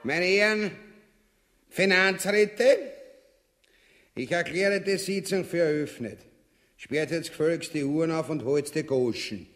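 Radio drama tyrant: Austrian accent, slightly high voice, self-important, blustering, abusive. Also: male voice, unhurried, pauses often, a bit bright, in a large hall, faint music behind. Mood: pompous. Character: bullying, pompous tyrant chairing his finance councillors.

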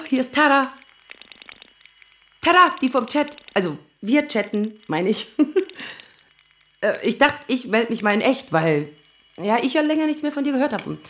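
An actress playing a flustered, easily agitated woman in her thirties in an audio drama, speaking haltingly and naturally. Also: a little high, lively intonation, a bit bright. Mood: nervous, awkward. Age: 30s. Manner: halting, stammering, nervous laughs, quick to flare up. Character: flustered, agitated 35-year-old woman lawyer, daughter of Iranian exiles.

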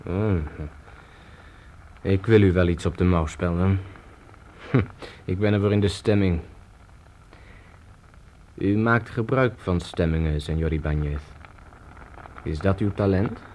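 An actor in a radio play voicing a weary Spanish man who is haunted by memories, speaking in a brooding, theatrical, halting way. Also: low voice, darkish tone. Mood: wry, teasing. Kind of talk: radio drama acting. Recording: noisy radio drama recording.

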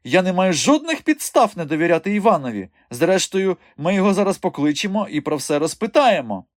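Man putting on a shrill, imperious circus madam. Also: sing-song, brisk, dry recording, clean studio sound. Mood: firm, irritated. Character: excitable, imperious female circus director, scolding and shrill.

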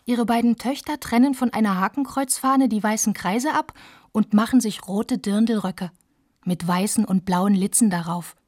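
A woman's voice: mid-range voice, moderate pace, darkish tone, no room sound, studio quality. A woman reading scripted first-person literary narration in short, simple sentences. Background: music.

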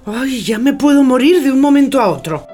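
A woman's voice: sits high, expressive, fairly quick, dark tone, soft background music. An actress playing a persistent, scheming housemaid in a theatrical audio drama, insistent and coaxing with her master. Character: bossy, persistent, scheming housemaid of an old gentleman.

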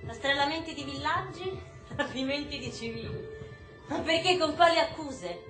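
A woman's voice: high-pitched, animated, normal speed. An actress playing a skeptical, indignant woman researcher in a theatrical radio drama. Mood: incredulous, indignant. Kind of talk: stage monologue in a radio broadcast play. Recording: roomy echo with music underneath.

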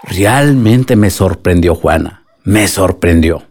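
A man's voice: low-pitched, animated, takes it slowly, darkish tone, full character voice. An actor with a Mexican accent playing a kindly, consoling old village grandfather in a radio drama. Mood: marveling.

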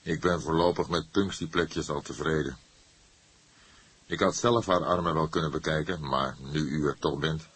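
Male reader as an older police detective, very low voice, husky, weary, somber, measured, crime audiobook. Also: a bit bright, heavily acted. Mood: businesslike. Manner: languid, measured.

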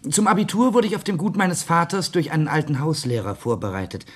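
A man's voice: fairly high pitch, fast, breathy edge. A man acting in a German radio play as a polite, hesitant writer in his twenties who stammers when flustered. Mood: earnest, puzzled. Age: 20s. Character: hesitant, well-bred 27-year-old writer gentleman, privately tutored.

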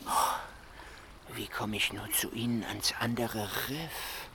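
A man's voice: on the low side, slow, heavy background noise. A man playing an ugly, lustful dwarf in a German fantasy radio drama, muttering breathily to himself and breaking into eager cries. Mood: longing. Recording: studio radio drama under loud music.

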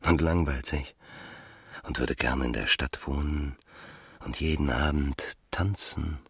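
Very deep, husky, calculating seducer. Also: male voice, slow pace, fairly bright tone, dry recording, studio quality, full character voice. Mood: scornful musing. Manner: suave, self-assured, musing. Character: ruthless, shrewd landowner of thirty-four, calculating seducer. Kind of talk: radio drama acting.

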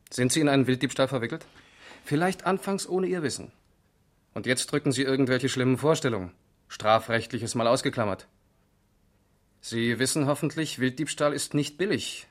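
A man's voice: mid-range voice, natural voice. Male actor for insistent police interrogator, 1970s radio drama, medium register, formal, controlled, pointed questions. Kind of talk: 1970s German radio crime drama acting.

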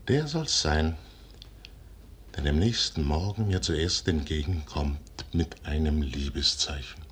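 Deep, husky, proud brooding general. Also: male voice, lively intonation, slow pace, exaggerated voice. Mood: solemn, brooding. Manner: solemn, declamatory, weighty. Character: proud, fatalistic, brooding male general of middle age. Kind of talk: classical verse radio drama acting.

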